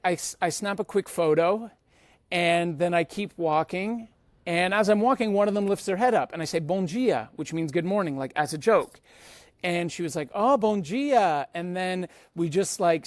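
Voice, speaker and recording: A man's voice: on the high side, no echo. A man hosting a podcast, telling personal travel stories in a casual, slangy way and acting out bits of dialogue. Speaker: podcast host.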